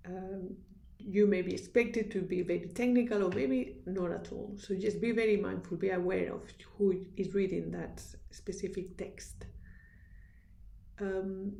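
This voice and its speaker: Woman lecturer, Spanish accent, earnest and instructive, gravelly mellow voice, echoey room. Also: medium pitch. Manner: earnest, instructive, with restarts and fillers. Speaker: university lecturer. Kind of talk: recorded lecture.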